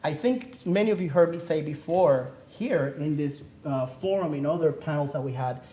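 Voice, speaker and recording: A man's voice: a little high, moderate pace, warm tone. Earnest Cuban-born man giving a seminar talk. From Cuba. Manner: earnest, emphatic, rhetorical questions. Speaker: panelist speaking on the economy. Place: theater.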